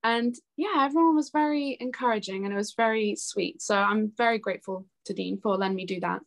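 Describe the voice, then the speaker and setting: A woman's voice: medium pitch, a little fast, vocal fry. A young woman talking chattily and earnestly as a guest in a casual interview. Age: young adult. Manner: chatty, earnest, laughs easily. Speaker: interview guest, singer-songwriter.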